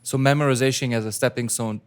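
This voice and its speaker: A man hosting an interview, a native Farsi speaker, asking relaxed questions and giving brief affirmations. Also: mid-range voice, quick pace, smooth. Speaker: interviewer host.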